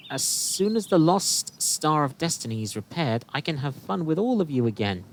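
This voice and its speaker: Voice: medium pitch, sing-song, moderate pace, low-quality recording. An actor voicing a friendly, loyal wooden robot companion in an audio drama.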